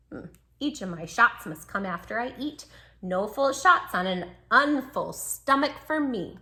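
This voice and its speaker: A woman's voice: mid-range voice, bright, forceful tone, exaggerated voice. A woman voicing a sweet, plucky little male dachshund who tells his own storybook tale with earnest, dramatic emphasis. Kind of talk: children's storybook read-aloud.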